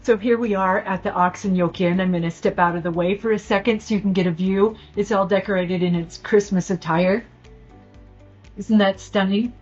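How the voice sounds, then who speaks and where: A woman's voice: mid-range voice, steady tone, normal speed, darkish tone, husky, soft background music. A woman from the US doing a casual travel video report outdoors, chatty like a tour guide. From United States. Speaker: field reporter. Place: outdoors on a mountain road. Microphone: phone camera. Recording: dull lo-fi sound.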